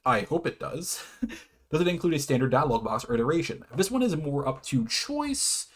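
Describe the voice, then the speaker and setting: A man's voice: a little high, very animated, normal speed, a bit bright. A man hosting an informal video explainer, half reading and half ad-libbing, often stumbling, correcting himself and chuckling between phrases. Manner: hesitant, stumbles over words and self-corrects. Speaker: YouTube host presenting his own definitions.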